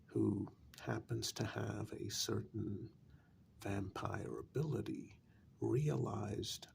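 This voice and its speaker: Guy acting as a calm vampire, asmr soft talk, super slow n halting, near whisper, bit of background noise. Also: lowish voice, gravelly, full character voice. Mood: matter-of-fact. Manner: slow, deliberate, halting with long pauses. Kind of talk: soft-spoken ASMR role-play monologue.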